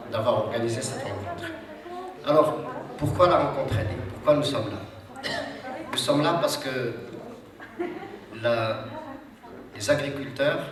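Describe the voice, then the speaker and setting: A man with a cold giving a formal, hesitant speech to an audience at a seminar, with many fillers and restarts. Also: mid-range voice. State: has a cold. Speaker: social security official speaking at a seminar. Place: large hall with audience. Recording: echoey hall, distant mic, audience noise.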